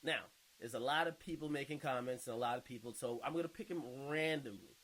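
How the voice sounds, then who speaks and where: A man's voice: medium pitch, fast talker, fairly bright tone, poor audio. A man hosting a casual online video, reading out and reacting to viewer comments in an informal, slangy way with some swearing. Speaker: online video host and podcaster.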